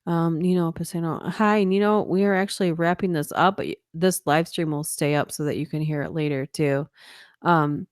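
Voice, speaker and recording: A woman's voice: sits low, clean tone, no room sound, clean studio sound. A woman hosting a late-night live stream, reading ghost stories aloud with chatty asides, her voice worn and tiring. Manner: steady reading voice breaking into quick laughing asides. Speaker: livestream host reading stories.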